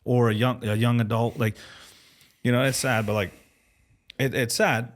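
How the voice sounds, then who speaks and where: A man's voice: medium pitch, fairly even intonation, normal speed. A podcast host in his thirties with an ordinary mid-range male voice. He talks loosely and conversationally and tends to trail off mid-sentence. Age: 30s.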